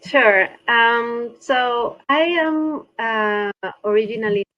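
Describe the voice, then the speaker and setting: A woman's voice: a little high, slow pace, lo-fi sound. A woman, speaking remotely as a guest in a podcast interview, thoughtful and often restarting as she searches for words. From Peru. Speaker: guest climate researcher. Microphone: video call.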